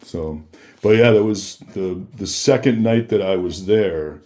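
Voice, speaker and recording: A man's voice: low-pitched, a little slow, warm tone. A middle-aged man with an American accent telling anecdotes casually as a podcast guest, acting out bits of dialogue.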